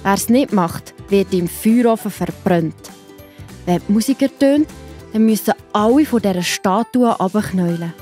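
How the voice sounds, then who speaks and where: A woman's voice: mid-range voice, animated, moderate pace, mellow, soft background music. A woman with a Swiss accent narrating a scripted Bible story for children.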